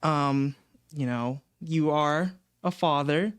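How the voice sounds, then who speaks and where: 17 yr old guy asking his dad questions on a podcast, chill and kinda unsure, clear voice, dry sound. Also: on the high side, takes it slowly, no echo. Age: teenager. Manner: easygoing, a little hesitant when asking questions. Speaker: guest interviewer, host's son. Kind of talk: casual podcast chat. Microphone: studio microphone.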